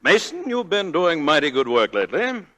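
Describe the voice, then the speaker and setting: An actor playing a pompous, brusque older judge who runs a law office, declaiming in 1940s radio style. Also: male voice, on the high side, big pitch swings, normal speed, bright, forceful tone. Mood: pompous, smooth. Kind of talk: 1940s radio drama acting. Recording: vintage 1940s radio broadcast.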